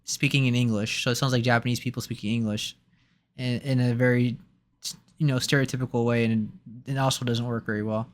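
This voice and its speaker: A man's voice: medium pitch, flat delivery, a little fast. A man co-hosting a gaming podcast, chatting casually and enthusiastically with stumbling restarts. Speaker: podcast co-host. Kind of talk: casual chat.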